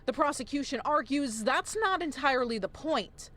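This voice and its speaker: A woman's voice: high voice, expressive, moderate pace, fairly bright tone. A woman reporting for television news in a scripted reporter's delivery.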